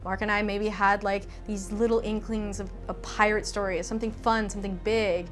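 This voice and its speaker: A woman's voice: mid-range voice, level tone, fairly quick, fairly bright tone, faint music behind. An American woman from the Southwest giving interview commentary as an audio drama's creator.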